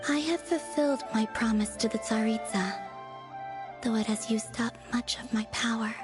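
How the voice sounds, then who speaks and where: A woman playing a weary, melancholy young moon goddess in a fantasy video game, speaking in a soft, breathy near-whisper with solemn, measured phrasing. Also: on the high side, natural voice. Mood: quiet disillusionment. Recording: background music under the voice.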